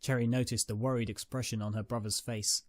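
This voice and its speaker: A man's voice: mid-range voice, level tone, brisk, dry recording. A man reading a dramatic story as a scripted narrator. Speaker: narrator, reader. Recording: music behind the voice. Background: background music.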